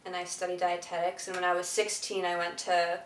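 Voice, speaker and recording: A young woman student with a slightly low voice talks in an earnest, direct way. She sounds set back from the microphone in a reverberant room. Soft music plays underneath her. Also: completely flat delivery, a little fast, slight background noise. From southern Vermont. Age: young adult. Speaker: university student. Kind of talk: casual interview testimony. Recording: distant mic in an echoey room with faint music.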